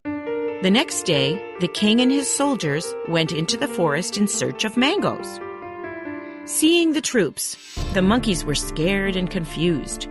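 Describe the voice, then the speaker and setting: Woman doing kids story narration, very animated storybook voice, bg music. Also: medium pitch, slow pace. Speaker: storyteller narrator for children's cartoon. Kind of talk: scripted children's story narration. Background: background music.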